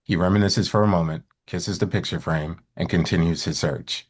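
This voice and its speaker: A man with a very low, husky voice narrates a screenplay. He reads out scene headings and actions at a table read. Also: fairly even intonation, moderate pace. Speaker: actor reading stage directions.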